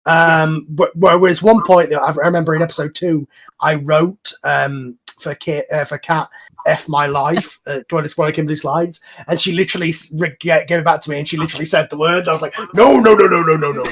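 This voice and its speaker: A man's voice: fairly high pitch, steady tone, brisk, fairly bright tone. A man hosting a casual discussion over an online voice call, explaining things in a rambling way as a show's creator. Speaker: host and series creator.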